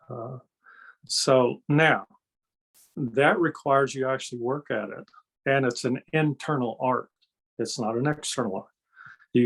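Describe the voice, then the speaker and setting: A man's voice: mid-range voice, poor audio. An older man with a mid-range voice talks as a guest on an interview show. He explains in a folksy, conversational way, slipping into anecdotes and often adding small tags to check he is understood. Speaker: interview guest, energy healer and kung fu master.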